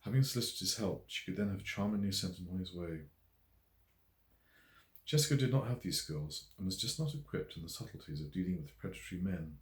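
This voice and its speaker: Deep-voiced man narrating in an echoey room. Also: expressive, fairly quick. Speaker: author reading own novel. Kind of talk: scripted audiobook narration. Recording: roomy, echoey voice recording.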